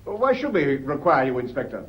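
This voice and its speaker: Lively, tense, defensive British schoolmaster. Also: male voice, mid-range voice, a little fast, husky, highs cut off. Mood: defensive, indignant. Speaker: actor in a radio play. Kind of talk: British radio drama acting.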